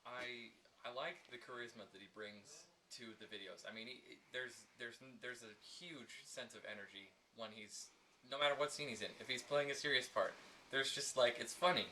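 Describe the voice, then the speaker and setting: A young man with a bright, forward voice talks in a halting, jokey way, often stopping to restart. He teases his video partner in a mock interview. Faint noise sits under the recording. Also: medium pitch, poor audio. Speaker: video creator.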